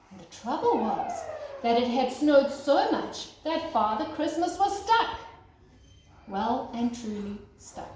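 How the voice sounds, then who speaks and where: A woman's voice: on the high side, warm tone. A woman teacher reading a children's storybook aloud expressively, as if to young listeners. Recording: very echoey room, faint noise.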